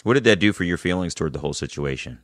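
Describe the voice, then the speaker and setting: A man's voice: low-pitched, lively intonation, rapid-fire, no room sound, clean studio sound. A man hosting a podcast, moving between scripted narration and conversational interviewing. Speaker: podcast host.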